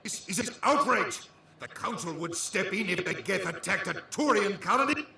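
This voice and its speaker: Blustering ambassador for a sci-fi game: very piercing, demanding, heavily echoing chamber. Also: male voice, high voice, animated, moderate pace, gravelly, heavily acted. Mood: outraged. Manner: forceful, demanding. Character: indignant, blustering human ambassador. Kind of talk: scripted video game acting. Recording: very echoey chamber, faint noise.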